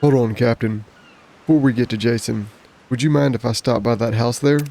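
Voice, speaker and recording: A man acting a kindly ship's cook from a video game. His voice is fairly low and creaky, polite and considerate, over some background noise. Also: fairly quick, mellow, close to an everyday voice. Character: helpful, kindly male pirate ship's cook.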